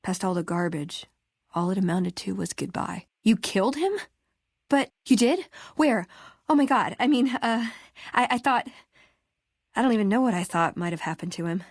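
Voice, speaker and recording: A woman's voice: mid-range voice, animated, no room sound, clean studio sound. An actress playing a witty, eager young woman scribe and scavenger in a video game, with a wry, chatty manner. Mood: subdued, wistful, then shocked. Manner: wry, chatty, quick to joke. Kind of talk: video game character dialogue.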